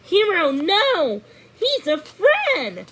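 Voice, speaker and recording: A woman voicing a tiny, sweet, excitable young fairy-tale girl in a storybook, breathless and gushing. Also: extremely high pitch, very slow, slight background noise, pitched above the performer's own voice, heavily acted. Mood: alarmed. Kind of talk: storybook character voice.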